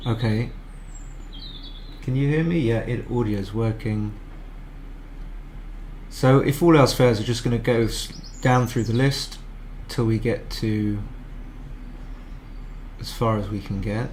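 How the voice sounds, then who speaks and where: A man's voice: mid-range voice, takes it slowly, pauses often, darkish tone, slight background noise. A man giving casual live commentary on a match as a streamer, chatty and thinking aloud with frequent restarts.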